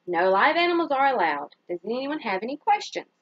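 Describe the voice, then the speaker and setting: Lady reading as nice encouraging teacher lady talking to her class in a kids story, lively pitch, muffled a bit. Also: brisk, no echo.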